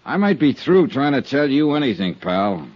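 An actor playing a wry, unruffled insurance investigator in 1950s radio drama, with dry, clipped delivery. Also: male voice, medium pitch, big pitch swings, normal speed, husky, no echo. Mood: guarded, defiant. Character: cool, wry hard-boiled male insurance investigator. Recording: dull 1950s radio recording.

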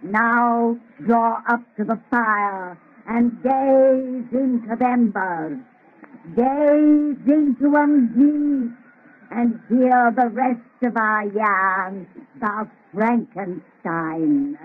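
Old witch, eerie fireside yarn-spinner, very slow and folksy, old radio horror with narrow lo-fi sound. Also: fairly high pitch. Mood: eerie relish. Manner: slow, drawn-out, folksy storytelling. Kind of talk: 1930s radio horror acting. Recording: muffled, lo-fi vintage radio recording.

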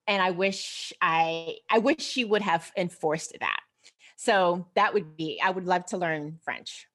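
A woman with an American accent chatting casually as an interview guest, animated and explaining things step by step with rhetorical questions. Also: fairly low pitch, a little slow. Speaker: interview guest, personal finance expert.

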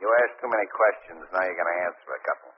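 A man's voice: low voice, animated, racing. An actor playing a tough, bullying gangster henchman with slangy threats, in 1940s radio drama style. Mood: threatening. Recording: dull, noisy old radio recording.

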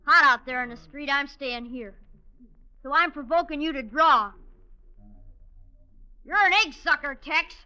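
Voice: high voice, unhurried, long pauses, bright, forceful tone, full character voice. An actor playing a cocky, provoking boy outlaw in an old radio western drama. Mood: cocky, challenging. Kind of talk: 1950s radio drama acting. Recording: muffled old radio recording, faint noise.

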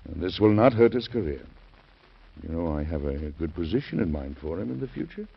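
A stubborn old statesman with a very deep, gravelly, husky voice. He speaks gently and fatherly, with halting pauses. The sound is muffled, like a vintage radio broadcast. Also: very animated, full character voice. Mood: tender. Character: elderly male U.S. president, stubborn, gentle. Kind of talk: 1940s radio acting.

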